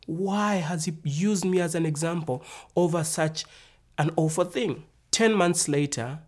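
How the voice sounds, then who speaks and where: A man's voice: a little high, measured pace, darkish tone. A man from Zambia with a Zambian accent, narrating a prepared personal testimony in a formal, orderly way. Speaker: university graduate and minister giving testimony.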